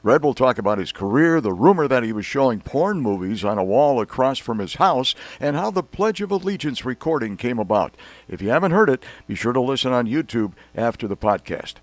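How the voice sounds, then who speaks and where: Lively male podcast narrator, dry studio sound. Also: medium pitch, a little fast, dry recording. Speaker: podcast host. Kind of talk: scripted narration introduction.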